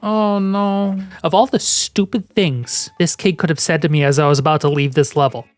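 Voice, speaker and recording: A narrator giving scripted comedic commentary over gameplay, deadpan and sarcastic, swearing casually. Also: medium pitch, moderate pace, dry recording. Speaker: video essay narrator.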